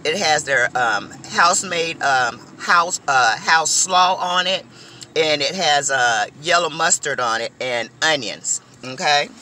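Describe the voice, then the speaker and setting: Middle-aged woman food vlogger, bright and lively, talks straight to viewers from her car. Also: low voice, slow pace, a little hiss or hum. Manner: chatty, animated, addresses viewers as y'all. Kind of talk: casual eating vlog. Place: inside a car.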